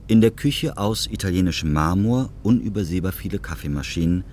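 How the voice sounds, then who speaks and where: A man's voice: low voice, expressive, a little fast, darkish tone, slight background noise. A man narrating scripted stage directions and scene descriptions for an audio drama.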